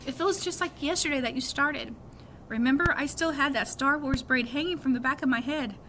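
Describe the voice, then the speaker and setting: A woman's voice: sits high, brisk, noisy background, voice pushed up, close to an everyday voice. A middle-aged woman voicing an affectionate, easygoing father in a storybook, coaxing and emphatic. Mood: fond.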